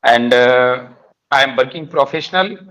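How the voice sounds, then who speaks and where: A man's voice: medium pitch, moderate pace, noisy background. A man with an Indian accent practicing conversational English, speaking hesitantly and repeating his words as he goes. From Madhya Pradesh, India. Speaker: guest, police officer learning English.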